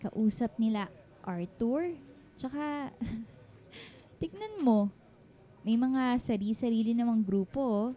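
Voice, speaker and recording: A woman's voice: on the high side, lively intonation, slow, pauses often, warm tone. An actress with a Filipino accent playing a guarded but friendly single mother of thirty in a radio drama. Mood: light, chatty. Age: 30s. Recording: music bed behind the voice.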